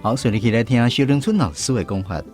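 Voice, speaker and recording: A man's voice: mid-range voice, lively intonation, fast talker, a little mellow, faint music behind. A man with a Taiwanese accent hosting a language lesson on the radio. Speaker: host of a Taiwanese-language quiz segment.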